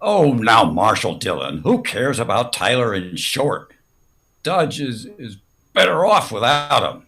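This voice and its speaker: Man acting a slow, evasive Western barkeep. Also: medium pitch, big pitch swings, fairly bright tone. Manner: hesitant, hedging, drawn-out. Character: evasive, uneasy male saloon barkeep in old West. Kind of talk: recreated 1950s radio western acting.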